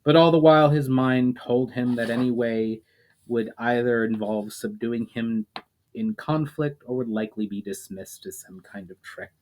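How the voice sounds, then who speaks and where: A man narrating a fantasy novel chapter in a steady reading voice. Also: mid-range voice. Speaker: storyteller.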